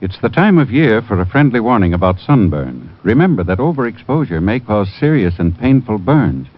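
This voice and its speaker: An American man delivers an old-time radio sales pitch. His fairly low voice moves expressively as he instructs. The sound is dull and dated. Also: big pitch swings, a little fast. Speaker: commercial pitchman, druggist spokesman. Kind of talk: vintage radio advertisement reading. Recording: dull vintage radio recording.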